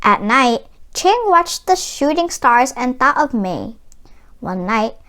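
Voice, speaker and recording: A woman narrating a children's storybook as an expressive read-aloud. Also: high voice, slow. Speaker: storybook reader.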